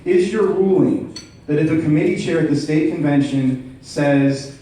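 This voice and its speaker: Man, formal debate, methodical and insistent, soft-edged tone, picked up from a distance. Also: fairly high pitch, normal speed. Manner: precise, insistent, methodical questioning. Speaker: delegate questioning the chair at a meeting. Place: large indoor meeting room. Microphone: floor microphone. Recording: roomy echoing hall, distant mic.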